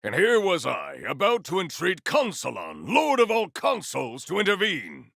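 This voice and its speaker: A man voicing a big, formal, earnest warrior in a video game, with stately, measured phrasing and a solemn temper. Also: wildly swooping pitch, a bit bright, no room sound, clean studio sound, full character voice. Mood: rousing, emphatic. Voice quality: deep, gravelly.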